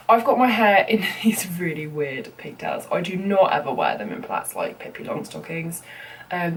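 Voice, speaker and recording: A young woman with a cold, sounding bunged up as she chats her way through a makeup tutorial with plenty of ums and you-knows. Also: lowish voice. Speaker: makeup vlogger. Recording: slightly distant mic with faint room noise.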